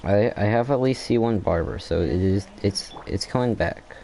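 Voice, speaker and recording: A man's voice: on the low side, moderate pace, mellow, slight background noise. A man giving casual commentary on his gameplay, rambling as he thinks aloud and trailing off mid-sentence. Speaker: gameplay streamer.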